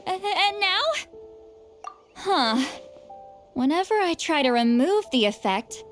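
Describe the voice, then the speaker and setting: A woman's voice: extremely high pitch, lively intonation, slow pace, exaggerated voice. A woman voicing a centuries-old shaman with a youthful voice, shy and easily flustered. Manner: hesitant, stammering, fussy. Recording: game audio with faint music.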